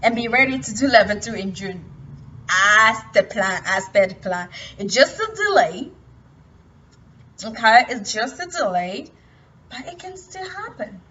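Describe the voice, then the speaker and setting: A young woman from Cameroon talking about her life in a personal motivational vlog, halting and full of restarts. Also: on the high side, big pitch swings, pushed and bright. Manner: hesitant, halting, many restarts. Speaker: vlogger. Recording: distant, muffled, light noise.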